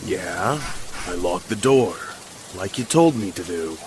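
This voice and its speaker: A man's voice: low voice, expressive, slow pace, noisy background. A man playing a weary, compliant younger accomplice in a horror noir audio drama, long-suffering and dismissive. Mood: exasperated.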